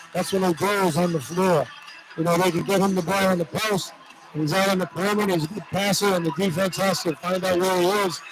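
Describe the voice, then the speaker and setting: A man's voice: high voice, low-quality recording. A man giving live basketball analysis as a color commentator, talking in a conversational, explanatory way. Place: indoor basketball arena.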